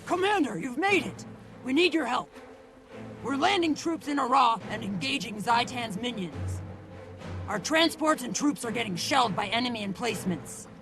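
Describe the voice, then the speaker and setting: Game casting: woman as urgent airship captain; high voice, clipped orders, music underneath. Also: animated, moderate pace, lightly acted. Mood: urgent appeal. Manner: commanding, clipped. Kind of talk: video game cutscene acting.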